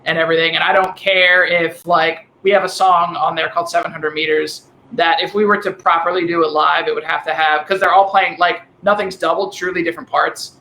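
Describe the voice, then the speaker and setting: A man with a high, thin voice and a Delaware County accent, a musician guest on a remote podcast call, rambling and restarting often. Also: flat delivery, creaky, bright, forceful tone, roomy sound, a little hiss or hum, low-quality recording. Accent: Delaware County, Pennsylvania. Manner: rambling, halting with many restarts. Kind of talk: casual remote podcast chat. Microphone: dynamic vocal mic over a call.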